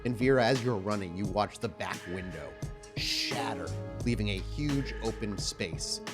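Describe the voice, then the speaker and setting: Male game master, lowish voice, descriptive yet casual tabletop narration with music underneath. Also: unhurried.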